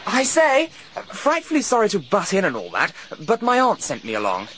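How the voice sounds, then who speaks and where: A man's voice: high voice, huge pitch swings, moderate pace, a bit bright, a little hiss or hum, exaggerated voice. An actor playing an eager, gushing young man in a radio drama. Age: young adult. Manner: gushing, breathless politeness.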